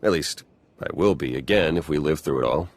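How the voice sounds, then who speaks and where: Deep-voiced, wry spy. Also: male voice, a little fast, no echo. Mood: wry, relaxed. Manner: casual, sardonic. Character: wry, roguish male Republic spy. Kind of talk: conversational video game acting.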